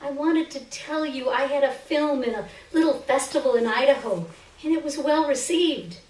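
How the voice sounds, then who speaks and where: A woman giving an expressive, theatrical reading of a first-person short story on a stage. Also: high voice, normal speed. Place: live stage reading indoors. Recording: roomy stage, distant microphone.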